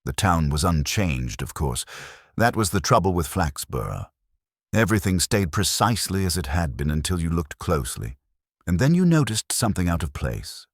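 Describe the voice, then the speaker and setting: A man reading a scripted mystery story in a measured, literary storytelling manner. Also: sits low, sing-song, dry recording, clean studio sound. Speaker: narrator.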